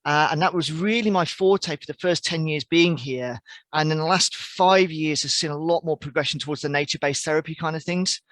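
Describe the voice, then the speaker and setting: A man's voice: on the high side, fairly quick, fairly bright tone, no room sound. A man in his forties from the English Midlands, with an English accent, answering interview questions on a video call in a reflective, earnest way. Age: 40s. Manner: reflective, earnest, with frequent restarts and fillers. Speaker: podcast guest, outdoor coach.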